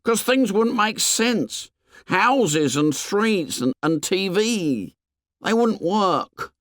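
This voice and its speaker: Audiobook: squeaky, shrill fretful schoolboy who whines and pleads, pitched well up. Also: male voice, expressive, extremely slow, a bit bright, dry recording, clean studio sound, full character voice. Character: shrill anxious plump schoolboy with glasses.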